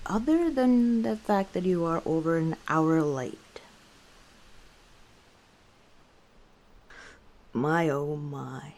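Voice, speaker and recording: Female orc innkeeper for ASMR roleplay, acted by a woman; dark, relaxed tone, leisurely delivery, playful and easygoing. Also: sits low. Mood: amused, teasing. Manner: unhurried, playful teasing with frequent chuckles. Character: easygoing, teasing female orc tavern owner. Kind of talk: ASMR roleplay, one side of a conversation.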